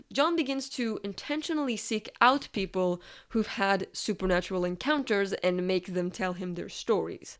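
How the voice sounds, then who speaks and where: A woman reading a scripted, analytical video essay as its narrator. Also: medium pitch.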